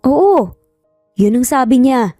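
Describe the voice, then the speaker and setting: A woman's voice: high-pitched, expressive, slow pace, warm tone, no echo, soft background music, exaggerated voice. A woman voicing a dismissive, scolding teenage older brother in an audio story. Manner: curt, scolding.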